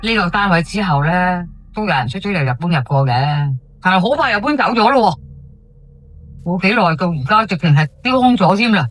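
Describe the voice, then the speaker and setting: 女演員扮演港式口音八卦街坊太太. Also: female voice, on the low side, animated, brisk, soft background music. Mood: sorrowful. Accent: Hong Kong Cantonese. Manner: colloquial, exclamatory, gossipy. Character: chatty, dramatic housewife neighbour in old public housing. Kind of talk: podcast audio drama monologue.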